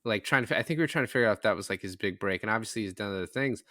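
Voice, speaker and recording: Man, fairly low pitch, forceful bright tone, casual podcast co-host. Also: lively intonation, very fast, no room sound, clean studio sound. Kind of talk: casual film discussion.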